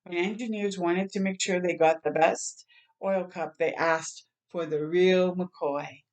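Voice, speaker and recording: A woman with a slightly low voice reads a children's picture book aloud. She is an expressive storyteller who acts out the sounds and talks directly to her young listeners. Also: measured pace, poor audio. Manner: expressive, acting out sound words and exclamations.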